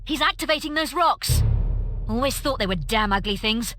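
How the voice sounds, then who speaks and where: An actress playing a tough, sardonic fighter in a fantasy video game, with a blunt, wry delivery. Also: female voice, fairly high pitch, animated, moderate pace, pushed and bright, faint music behind. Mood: wry resolve. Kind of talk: scripted fantasy game acting.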